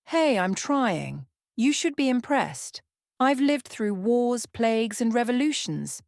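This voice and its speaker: A woman's voice: on the high side, sing-song, slow, vocal fry, darkish tone, no room sound, voice pushed up, full character voice. Woman playing a sly ancient vampire woman, close and dry. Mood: teasing. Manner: teasing, mischievous. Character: ancient female vampire, sly, playful, teasing.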